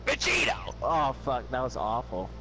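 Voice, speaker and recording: A man's voice: mid-range voice, lively intonation, moderate pace, very noisy. A young man chatting while gaming online, swearing and laughing, his voice strained from screaming. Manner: loud, swearing, laughing, tries character impressions. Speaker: gamer in party chat. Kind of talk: casual gaming banter.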